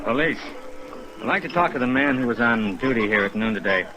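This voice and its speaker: An actor playing a businesslike police deputy in a 1950s radio drama, plain and official, on a dull old recording. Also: male voice, mid-range voice, lively intonation, fairly quick, music in the background, natural voice.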